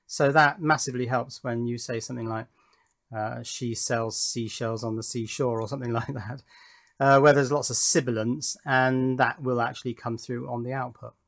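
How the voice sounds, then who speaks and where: A man's voice: medium pitch, steady tone, moderate pace, gravelly. A man hosting a hobby electronics video, talking through his build unscripted in a matter-of-fact, technical and self-critical way. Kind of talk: unscripted workbench explanation.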